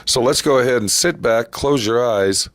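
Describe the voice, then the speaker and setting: A man hosting a vintage radio channel, introducing an old mystery episode in a relaxed way with small asides. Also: mid-range voice, moderate pace, dry recording. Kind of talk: slow scripted introduction.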